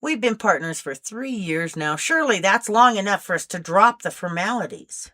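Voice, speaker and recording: Woman reading as a bright, restless female field agent. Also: medium pitch, lively intonation, normal speed. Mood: teasing. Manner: informal, teasing.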